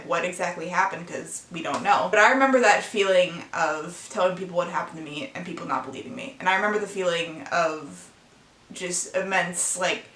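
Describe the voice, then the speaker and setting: A teenage woman speaks in a bright, clear voice, earnest and emotional, pausing and restarting her sentences. She records a confessional vlog in her own room, and the room gives her voice a noticeable echo. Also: on the low side. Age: late teens. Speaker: vlogger. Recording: echoey room sound.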